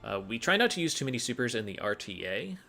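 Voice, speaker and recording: A man's voice: mid-range voice, very animated, quick pace, creaky, bright, forceful tone, faint music behind. A man giving explanatory commentary as he plays through a video game speedrun.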